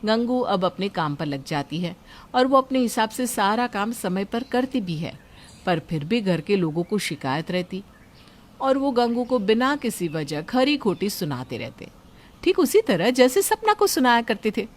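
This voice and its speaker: Female narrator, scripted storytelling, mid-pitched voice. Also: very animated, moderate pace.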